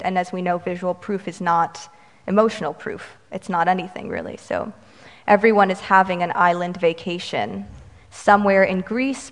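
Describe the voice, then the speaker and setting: A Romanian-American woman whose first language is Romanian, reading her poems slowly and measuredly and adding brisk, wry remarks between them. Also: fairly low pitch. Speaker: poet. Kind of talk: poetry reading with commentary. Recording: reverberant hall.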